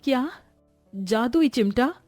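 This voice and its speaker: A woman playing a kind, dutiful young mother and daughter-in-law in a cartoon story, gentle and respectful. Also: on the high side, expressive, slow, dry recording, clean studio sound, faint music behind, pitched above the performer's own voice. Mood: earnest. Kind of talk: animated cartoon story acting.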